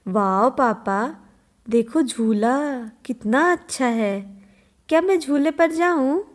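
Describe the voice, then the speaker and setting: A woman voicing an eager, mischievous little girl in a cartoon story, with sing-song, drawn-out pleading. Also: high voice. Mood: excited.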